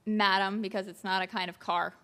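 A woman's voice: mid-range voice, level tone, fairly quick, very noisy. A woman playing along as a quiz contestant, giggling and unsure of her answers. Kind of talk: comedy quiz show banter.

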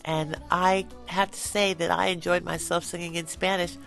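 Gravelly middle-aged woman singer. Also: fairly low pitch, moderate pace, soft background music, low-quality recording. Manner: animated, laughs easily, talks with passion. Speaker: singer, interview guest. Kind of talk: conversational interview.

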